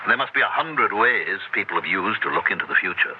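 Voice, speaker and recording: Actor as deep-voiced, courteous, sinister professor. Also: male voice, lively intonation, close to an everyday voice. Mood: courteous, professorial. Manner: polite, measured, professorial. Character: smooth, courteous, secretly sinister male university professor of witchcraft. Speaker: actor in a radio play. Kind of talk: 1970s radio drama acting. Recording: noisy, muffled 1970s radio broadcast.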